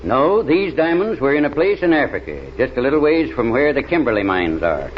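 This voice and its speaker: Actor as folksy backwoods Southerner, muffled old radio. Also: male voice, mid-range voice, sing-song, fairly quick, natural voice. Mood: recounting adventures. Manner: drawling, folksy, ungrammatical speech. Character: folksy backwoods American adventurer, plain-spoken, unhurried storyteller. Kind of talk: 1930s radio serial acting. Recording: dull, noisy old radio recording.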